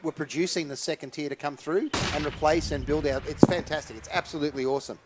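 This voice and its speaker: Fast-talking esports caster. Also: male voice, a little high, steady tone, heavy background noise. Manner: enthusiastic, quick promotional chatter. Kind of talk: live esports commentary. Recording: loud gunfire from the game behind.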